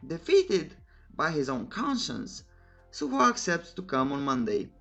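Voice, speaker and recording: A narrator delivering a playful, scripted story recap in a cheeky manner, pausing teasingly before punchlines. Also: medium pitch, huge pitch swings, vocal fry, a bit bright, soft background music.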